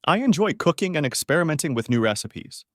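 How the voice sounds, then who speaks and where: A man teaching beginner English, reading short model sentences clearly and saying each one twice. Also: on the high side, sing-song, no echo, studio quality. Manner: says each phrase twice, clearly and deliberately.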